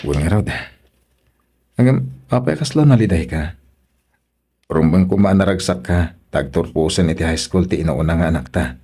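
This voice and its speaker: A man with a Filipino accent playing a hardworking, husky farmer and family man in a radio drama. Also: low-pitched, animated, moderate pace, long pauses, mellow, full character voice. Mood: concerned, weary. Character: hardworking, reassuring farmer husband and father.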